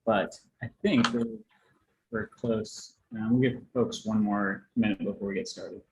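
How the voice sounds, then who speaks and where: Low-voiced man chairing in a roomy space. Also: steady tone, measured pace. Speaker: meeting chair, medical director. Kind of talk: informal online meeting facilitation. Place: indoor room on video call. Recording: roomy echo, faint noise.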